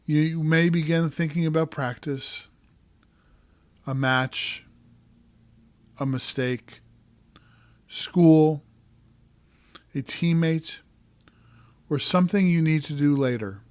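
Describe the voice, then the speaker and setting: A man narrating a guided meditation as a coach, giving calm, gentle instructions in short, measured phrases. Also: on the high side, no room sound.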